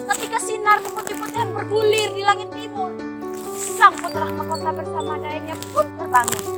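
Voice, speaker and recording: A teenage young woman from Indonesia narrates a scripted folktale with dramatic, declamatory phrasing. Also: extremely high pitch. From Bima, West Nusa Tenggara, Indonesia. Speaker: student storyteller. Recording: loud music over the voice. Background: loud music.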